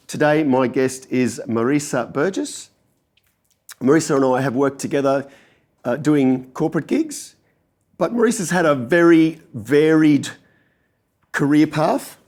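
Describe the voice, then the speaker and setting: A man hosting a podcast, his voice a little croaky after a gig, guiding the guest with friendly questions. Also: fairly high pitch, measured pace, long pauses, a little mellow. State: slightly croaky voice. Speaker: podcast host and gigging musician. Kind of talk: casual interview.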